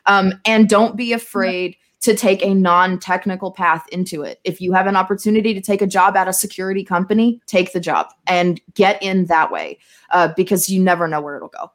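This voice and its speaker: A woman talks as a guest on a podcast in a medium-pitched voice. She is informal and candid, thinking her points through in loose, conversational phrasing. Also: steady tone, fairly quick. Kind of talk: casual podcast chat.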